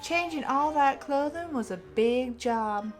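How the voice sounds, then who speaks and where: A woman plays a polite, particular storybook widow. Her voice is fairly high and deliberate, with faint music behind it. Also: animated. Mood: grateful.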